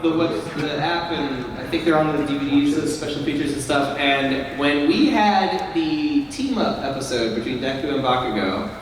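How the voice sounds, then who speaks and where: A man's voice: on the high side, normal speed, hardly any pauses. Man telling stories in an echoey hall. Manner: rambling, filler-heavy storytelling. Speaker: voice actor on a convention panel. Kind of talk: casual anecdote telling. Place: large convention panel room. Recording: echoey convention hall from far off, noisy.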